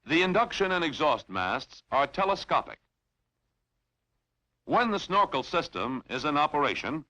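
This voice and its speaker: A man reading scripted instructional narration in a formal, measured, clearly enunciated way, as in a training film. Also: a little high, bright, forceful tone, rough recording.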